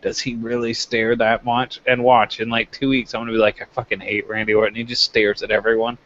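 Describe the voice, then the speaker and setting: A man's voice: mid-range voice, level tone, fairly quick, fairly bright tone, dull audio. A man chatting casually as co-host of a review show, joking crudely and acting out imagined quotes.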